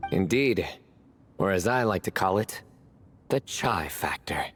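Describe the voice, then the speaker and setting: Composed punning woman, very low voice, slow, deadpan, video game. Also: a little hiss or hum. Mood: deadpan, playful.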